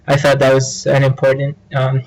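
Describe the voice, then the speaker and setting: A man's voice: mid-range voice, completely flat delivery, normal speed, low-quality recording. A young man from Tunisia, an international student explaining himself earnestly in an interview. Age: young adult. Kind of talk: documentary interview.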